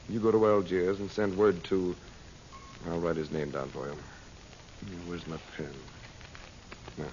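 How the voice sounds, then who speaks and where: An actor playing a grave, protective hero, earnest and measured, in old-time radio drama. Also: male voice, low-pitched, a little mellow. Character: resolute, grave heroic man, protective of his family. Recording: muffled old radio recording, faint noise.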